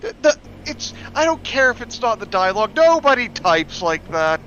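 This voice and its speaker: A man's voice: very high voice, sing-song, normal speed, bright, forceful tone. A man chatting casually as a co-commentator, blunt and exasperated in his criticism, on a lo-fi mic. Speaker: co-commentator on a story reading. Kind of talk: casual chat. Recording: dull lo-fi mic with faint noise.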